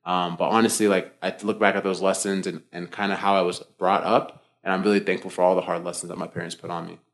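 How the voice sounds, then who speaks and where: Low-voiced, fast-talking young man. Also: creaky. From United States (born Boston, raised Florida). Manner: relaxed, talkative, many fillers and self-restarts. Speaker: guest, fitness coach. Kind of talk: casual interview conversation.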